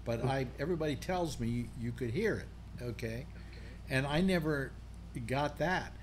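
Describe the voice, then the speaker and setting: A man's voice: medium pitch, animated, normal speed. An older man hosting a casual panel chat over a video call, musing aloud and restarting his sentences. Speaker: host. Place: home room on video call. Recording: faint background noise.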